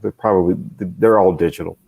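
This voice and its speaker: A man co-hosting a casual review, speaking in a measured, hesitant way as he searches for words. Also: deep voice, steady tone, vocal fry, a little mellow, slightly rough. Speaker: co-host reviewing animation. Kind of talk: casual analytical commentary.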